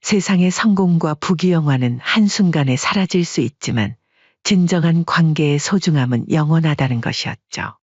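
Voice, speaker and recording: A woman narrating a story in an even, measured way, her voice slightly husky. The recording is dry and close, with a somewhat muffled tone. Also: deep voice, no echo.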